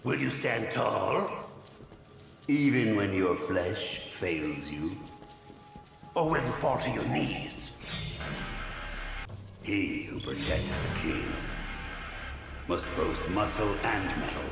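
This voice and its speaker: An actor playing an ancient male spirit who keeps the trials, speaking slowly with a gravelly, solemn voice in echoing game audio. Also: fairly low pitch, very animated, full character voice. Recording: echoey game audio with music.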